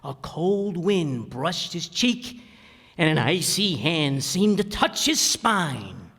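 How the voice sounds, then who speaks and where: A man's voice: fairly high pitch, sing-song, takes it slowly, a bit bright, slightly rough, in a large hall, full character voice. An actor playing a nervous middle-aged father who blusters and stammers to hide his fear, in old-time radio comedy style. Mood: spooky reading. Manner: stammering, flustered, hedging.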